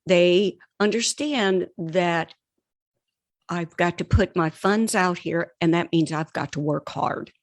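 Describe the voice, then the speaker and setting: A woman speaking as an author and mentor in an interview, earnest, deliberate and emphatic. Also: lowish voice, dry recording, clean studio sound. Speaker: podcast guest, author and writing mentor. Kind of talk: conversational interview.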